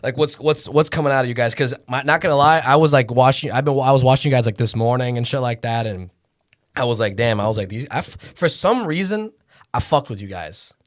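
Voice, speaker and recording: A man's voice: medium pitch, a little fast. A man hosting a podcast in casual chat, talking loosely with slang, swearing and lots of restarts. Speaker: podcast host.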